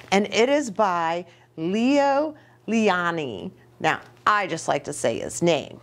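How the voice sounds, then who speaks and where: A middle-aged woman reading a picture book aloud to young children, animated, with chatty asides and questions for her listeners. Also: mid-range voice. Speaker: children's ministry storytime host. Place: small indoor reading nook with bookshelf and curtains.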